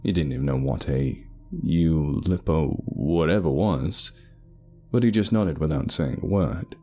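A man's voice: rumbling low, measured pace, warm tone. A man narrating a scripted horror story, with faint music behind him.

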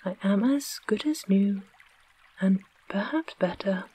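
A reader voicing a calm, literal-minded clockwork man in a soft whisper, read slowly. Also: mid-range voice, husky. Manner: whispered, matter-of-fact.

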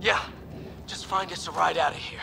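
A man's voice: fairly high pitch, fairly even intonation, normal speed, vocal fry, noisy background. A man playing a stoic, gritty wounded space soldier in a video game, strained with pain.

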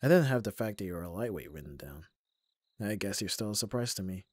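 A man playing an abrasive young bodyguard who is secretly soft, in an ASMR roleplay audio drama, with gruff, protective exasperation. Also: fairly low pitch, animated, fairly quick, no echo. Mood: concerned, gentle.

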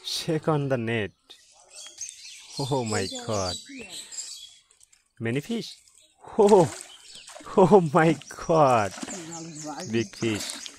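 A man giving excited, repetitive commentary by a village pond, recorded on a camera mic. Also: medium pitch, very slow, pauses often. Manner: excitable, repeats short exclamations. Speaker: fishing channel host. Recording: noisy outdoor camera audio.

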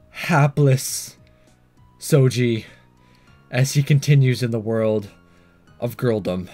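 Animated man doing casual game commentary. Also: medium pitch. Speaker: let's play host.

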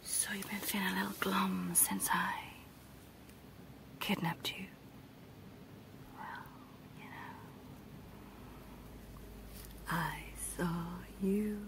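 A woman voicing a creepy evil clown dentist in a menacing, teasing, breathy whisper for an ASMR horror roleplay. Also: mid-range voice, fairly even intonation, background noise. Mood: mock concern, then creepy, predatory. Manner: slow, teasing, menacing, drawn-out phrases. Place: small dark room indoors, close to the mic.

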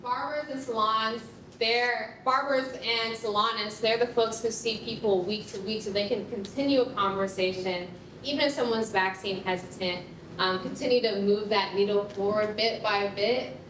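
A woman's voice: steady tone, normal speed, a bit bright. A young adult woman giving an informal presentation to an audience, explaining things in a conversational way. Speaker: project presenter. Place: dim concrete rehearsal hall before an audience. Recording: echoey room, distant mic, noisy rumble.

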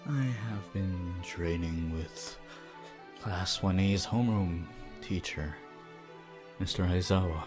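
A man voicing a shy, guarded teenage anime hero student in ASMR roleplay, soft and hesitant. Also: low-pitched, slow pace, music in the background. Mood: awkward, bashful.

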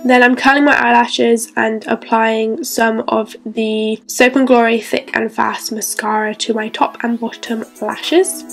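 A woman's voice: fairly high pitch, little pitch movement, a little slow, vocal fry, hardly any pauses, faint music behind. A young woman chatting through a makeup tutorial, sounding a bit stuffy from a cold. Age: young adult. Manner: chatty, bubbly, lots of "so yeah". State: congested from a cold. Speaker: beauty vlogger. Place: bedroom with clothes rail.

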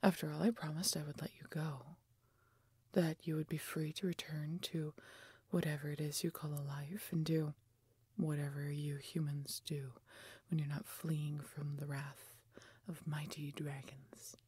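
A woman voicing a proud, haughty wounded female dragon in a fantasy audio roleplay, with breathy, husky, imperious delivery. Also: low-pitched, level tone, darkish tone, no room sound, full character voice. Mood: softened, grateful. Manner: imperious, theatrical, deliberate phrasing.

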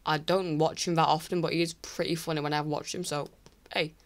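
A teenage boy from England ranking online creators in casual screen-recorded commentary, rambling and apologetic with many restarts, his voice croaky from recording late at night. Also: a little high, level tone, fairly quick. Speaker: YouTuber. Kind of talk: casual tier list commentary.

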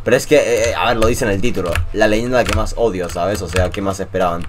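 A young man from Venezuela with a mixed Latin American and Spanish accent, commenting casually over his gameplay in an animated, swearing, joking rant. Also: fairly low pitch, fast, background noise. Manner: animated ranting, swears, jokey. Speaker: gaming YouTuber.

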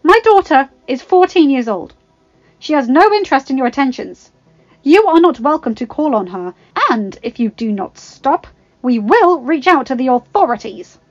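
Woman playing a protective mother, high and emphatic. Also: sing-song, fast talker, no room sound, highs cut off. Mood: sharp, defiant. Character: loving, nervous but protective French mother.

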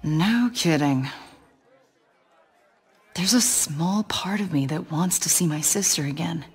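A woman voicing a brooding, troubled female warrior in a video game, speaking low and husky. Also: slow pace, a faint crowd, a little hiss or hum. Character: brooding green-skinned female warrior assassin.

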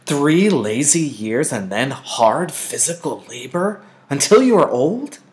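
A man's voice: mid-range voice, sing-song, slow pace, darkish tone, voice pushed up. A man voicing a stern mother in an audiobook, firm and emphatic as she instructs her child.

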